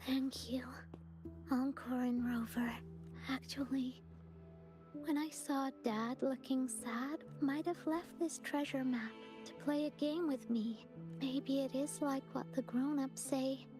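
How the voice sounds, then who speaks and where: A woman voicing a wistful little girl in a video game, soft and childlike, speaking in an earnest, halting way. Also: sits high, fairly even intonation, over music. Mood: hopeful, bittersweet. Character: wistful little girl missing her mother.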